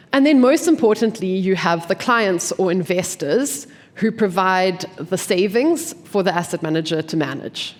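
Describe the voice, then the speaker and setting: A woman from an investment team giving a conference talk. She sounds blocked up from a cold and explains clearly, often posing questions and then answering them. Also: medium pitch. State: congested from a cold. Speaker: investment team member presenting at a forum. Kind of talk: conference presentation. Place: conference venue. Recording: long hall reverb.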